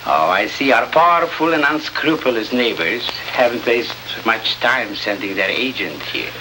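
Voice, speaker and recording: An actor playing a scornful, menacing Latin American newspaper editor in a 1950s radio drama, with a sarcastic, grandiloquent delivery. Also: male voice, medium pitch, lively intonation, unhurried, lightly acted. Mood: mocking. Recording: noisy old radio broadcast recording.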